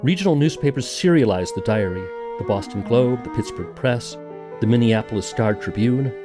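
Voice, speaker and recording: A man's voice: mid-range voice, normal speed. A man hosting a podcast, reading scripted documentary narration. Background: music.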